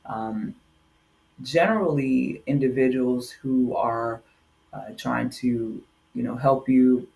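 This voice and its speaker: Man giving a halting, informal explainer. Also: medium pitch, creaky, darkish tone, rough recording. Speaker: insurance agent explaining Medicare calls.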